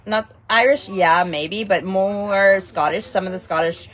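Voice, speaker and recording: A woman's voice: mid-range voice, a little slow, low-quality recording. A Canadian woman with a Canadian accent, co-hosting a podcast and chatting in a rambling way full of fillers. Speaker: podcast co-host. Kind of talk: casual chat.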